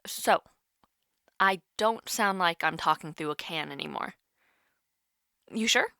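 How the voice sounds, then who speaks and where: Casting: actress as nervous, playful young woman in intimate roleplay; bright voice, unhurried, halting delivery. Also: on the low side, gravelly, dry recording, clean studio sound, full character voice. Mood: relieved, chatty. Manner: hesitant, halting, teasing. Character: nervous, playful young woman calling her online crush. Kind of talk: intimate audio roleplay, one-sided call.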